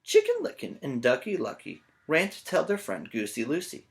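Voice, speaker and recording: A man's voice: mid-range voice, huge pitch swings, normal speed, vocal fry. A man with a teacher's manner reading a children's story aloud, playful and often asking listeners questions.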